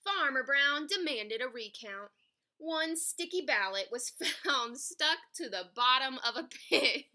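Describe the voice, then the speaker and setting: A woman reading a story aloud for children in an expressive, emphatic way. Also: high-pitched, a little slow. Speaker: picture book reader.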